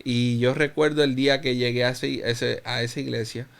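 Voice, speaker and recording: Earnest man speaking slowly, smooth voice. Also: medium pitch, fairly even intonation, fairly bright tone. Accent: Puerto Rican Spanish, faint Cuban trace. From Cuba. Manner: reflective, slow and earnest with many restarts. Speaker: guest. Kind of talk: personal testimony in an interview.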